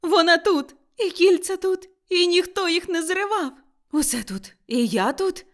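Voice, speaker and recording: An elderly man bursting with giddy joy in a storybook tale, acted out by a woman reader. His voice sits high and leaps around excitedly. He exclaims and repeats himself like someone newly reborn. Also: brisk. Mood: frantic relief. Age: older. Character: elderly miser Scrooge, giddily overjoyed and reborn, man.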